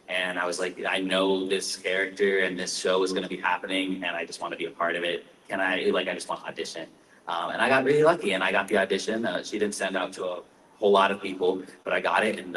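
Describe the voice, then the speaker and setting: A man's voice: low-pitched, a bit bright, slight background noise. A young man from Massachusetts, a voice actor on a convention panel, talking casually with many fillers and restarts. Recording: echoey room mic from far off.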